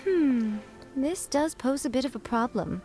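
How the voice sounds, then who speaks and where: A woman's voice: high voice, lively intonation, measured pace, mellow. A woman voicing a sly, teasing female shrine priestess in a video game, who speaks with playful condescension. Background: game music.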